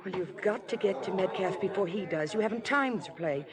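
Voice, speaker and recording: A woman's voice: mid-range voice, lively intonation, fairly quick, crowd noise, lightly acted. An actress playing an earnest, anxious young society woman in 1950s radio drama, proper and urgent.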